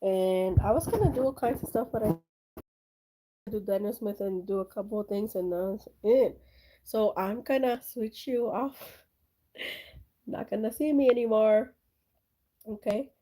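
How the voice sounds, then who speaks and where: A woman with a soft, mellow voice and a slight German accent chats loosely at an everyday pitch. She rambles with plenty of fillers and restarts while she works on her art. Her delivery stays relaxed and conversational. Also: unhurried, long pauses. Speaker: art channel host. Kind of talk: casual rambling chat while painting.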